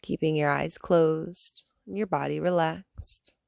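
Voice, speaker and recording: A woman guiding a meditation as a yoga teacher, speaking in a calm, soothing manner with gentle instructions and long pauses. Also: deep voice, creaky, dry recording, clean studio sound. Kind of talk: guided meditation.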